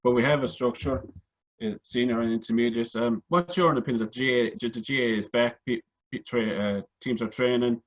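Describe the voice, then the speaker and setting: A man's voice: mid-range voice, steady tone, moderate pace, rough recording. A man hosting a sports panel, putting meandering, hesitant questions to guests. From Ireland. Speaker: sports show host.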